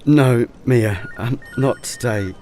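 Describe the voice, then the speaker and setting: A man playing a weary, gentle father in an audio drama, speaking in a subdued way over faint noise. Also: medium pitch, slow, faint music behind, close to an everyday voice. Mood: tender.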